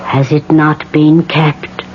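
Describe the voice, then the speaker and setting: Slow, whispery old woman medium, muffled radio. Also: low voice, level tone. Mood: stern, challenging. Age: older. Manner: halting, whispery, deliberate trance-like delivery. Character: old respected woman spirit medium, solemn and protective. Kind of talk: 1970s radio drama acting. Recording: muffled old radio recording, loud hiss and hum.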